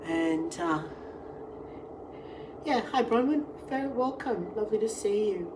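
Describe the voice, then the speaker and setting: A woman's voice: mid-range voice, expressive, a little slow. A middle-aged Australian woman with a cold and a fading voice, chatting casually as she hosts a live cooking stream and greets each viewer who joins. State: has a cold, losing her voice. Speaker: online tour and cooking class host. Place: outdoor deck, then home kitchen. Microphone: device camera. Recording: wind and ambient noise outdoors.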